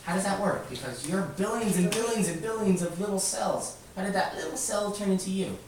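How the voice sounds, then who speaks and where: A man's voice: sits high, fairly quick. A man teaching children informally, explaining things simply and prodding them with repeated questions. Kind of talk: casual question-led lesson. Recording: echoey room, distant mic, faint noise.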